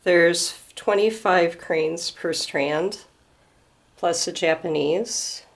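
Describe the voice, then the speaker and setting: A woman at home gently explaining a handmade display to a camera, her voice slightly hoarse from a starting cold. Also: lowish voice. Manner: gentle, unhurried, pausing to explain details. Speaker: student presenting a craft project. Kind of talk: slow show-and-tell explanation. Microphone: camera filming a display.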